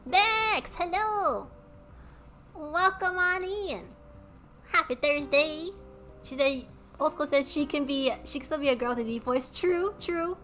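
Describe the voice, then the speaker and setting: Woman streamer, high bright voice, bubbly and giggly, casual chat with viewers. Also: expressive, measured pace, soft background music. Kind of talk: casual chat with live chat while playing a game.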